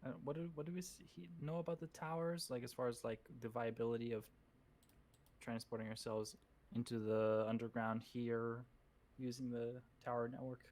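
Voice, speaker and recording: Man, tabletop player, middle pitch, halting, thinking aloud, casual. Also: little pitch movement, a little mellow. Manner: halting, full of fillers and restarts while planning.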